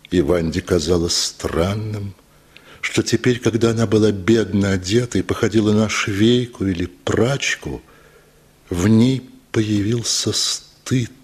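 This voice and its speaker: A man narrating a literary story for a radio play, telling it expressively with dramatic pauses. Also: on the low side, slightly rough.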